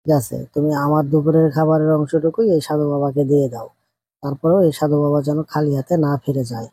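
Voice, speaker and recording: A man acts out a poor village husband in a cartoon tale, good-hearted and plain-spoken. His voice is heavily husky and very soft-edged, with little brightness at all. It sounds close and dry. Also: a little high, steady tone, a little fast, dry recording. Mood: resolute, generous. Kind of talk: amateur cartoon story dubbing.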